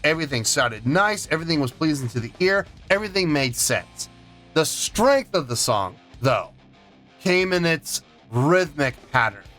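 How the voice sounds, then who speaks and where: Male music reaction host, slightly high-pitched, conversational reviewer who restarts and repeats points for emphasis, faint music underneath. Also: lively intonation, moderate pace, a bit bright. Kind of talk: casual song review to camera.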